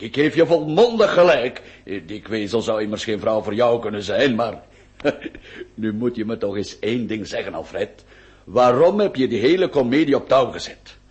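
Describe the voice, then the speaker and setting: Animated Belgian gentleman, exasperated and teasing. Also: fairly low pitch. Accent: Belgian Dutch. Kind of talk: theatrical radio play acting.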